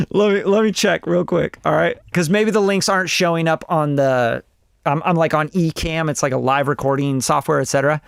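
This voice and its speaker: A man's voice: a little high, fairly even intonation, moderate pace, creaky, clear, resonant tone, no echo, studio quality. A man in his forties from Costa Rica, a music producer chatting on a livestream in a rambling, blunt, swearing way. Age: 40s. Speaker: music producer reviewing songs live. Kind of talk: casual livestream chat.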